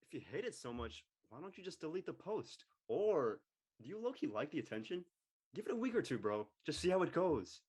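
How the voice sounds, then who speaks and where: A man playing a brash, image-obsessed high-school boy in an amateur script reading, blunt and mocking. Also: sing-song, a little fast, darkish tone, slightly rough. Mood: teasing. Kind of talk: amateur audio drama table read.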